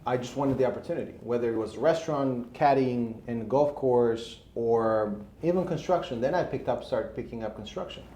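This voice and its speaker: A man's voice: mid-range voice, brisk, hardly any pauses, warm tone. A man, telling his story casually as an interview guest. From Albania. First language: Albanian. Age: 30s. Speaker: interview guest, real estate investor. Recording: roomy echo, faint background noise.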